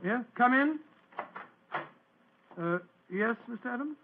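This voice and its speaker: An actor playing an earnest, fussy English doctor in an old radio drama, speaking formally and hesitantly with an English accent. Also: male voice, very high-pitched, expressive, slow, noisy background, exaggerated voice. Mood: thoughtful. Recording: muffled narrowband old radio recording.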